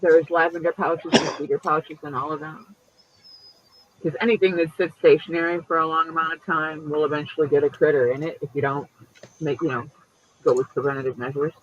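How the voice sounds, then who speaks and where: A man in his late fifties with a high voice chats loosely, swearing freely and leaping up in pitch. The sound is dull and lo-fi, like a home stream. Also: a little fast. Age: 50s. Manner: chatty and profane, lively pitch jumps. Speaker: host. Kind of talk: casual chat.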